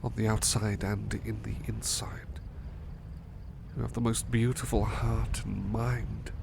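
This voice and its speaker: A man playing a tender, courteous butler in love, murmuring gently and hesitantly in a soft romantic ASMR roleplay. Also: fairly low pitch, level tone, slow pace, creaky, background noise, heavily acted. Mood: adoring.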